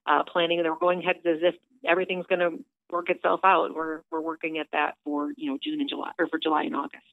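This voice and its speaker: A woman with a low voice, talking chattily on a phone call into a radio show, upbeat, with lots of fillers. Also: flat delivery, fast, vocal fry, no room sound. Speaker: theater director calling in. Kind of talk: casual phone interview. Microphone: telephone. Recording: tinny phone line.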